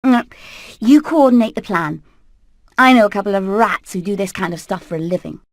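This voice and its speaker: A British woman playing a determined, plucky hen in an animated video game, speaking in a brisk, earnest, rallying way. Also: medium pitch, no echo, studio quality, lightly acted. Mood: brisk, planning. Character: determined, plucky female hen leading an escape plan.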